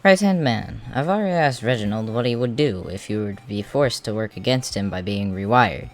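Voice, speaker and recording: A theatrical, expressive voice actor performing lines for an amateur comic dub. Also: medium pitch, fairly quick. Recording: music under the voice.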